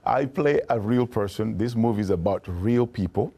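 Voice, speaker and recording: A man's voice: fairly low pitch, moderate pace, mellow, breathy edge. A man in his fifties, an actor telling his story relaxedly in an interview. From Kenya. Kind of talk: casual interview talk.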